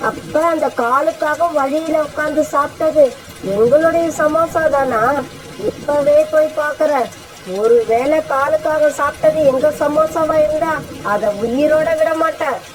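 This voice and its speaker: A woman's voice: sits high, measured pace, a little hiss or hum, rough recording. A woman voicing an indignant, threatening eagle in a cartoon story. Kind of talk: dubbed cartoon dialogue.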